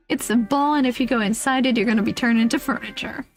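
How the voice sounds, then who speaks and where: A woman streamer reading a comic list aloud on a livestream, giggly and often breaking off into casual asides. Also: fairly high pitch.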